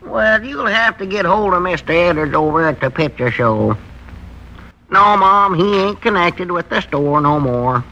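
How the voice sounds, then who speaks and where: An actor playing a folksy small-town storekeeper with a rural Southern American accent, in 1940s radio style. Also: male voice, sits high, expressive, a little slow, sharp tone, full character voice. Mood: folksy, flustered. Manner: homespun, rustic grammar. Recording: muffled, hissy 1940s radio recording.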